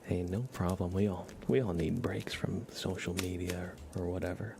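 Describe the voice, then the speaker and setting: Soft-spoken asmr guy rambling to his stream, gravelly voice, noticeable noise in the recording. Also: low-pitched, little pitch movement, normal speed. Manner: informal, chatty, rambling. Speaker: ASMR livestream host. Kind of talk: ASMR livestream chat, soft-spoken to whispered.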